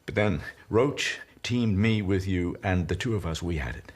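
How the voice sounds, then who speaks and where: An actor playing an elderly comedian in a television drama, speaking tenderly and haltingly as he grieves beside his dying partner. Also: deep voice, lively intonation, husky. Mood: confessional, tender. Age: older. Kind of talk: intimate television drama monologue.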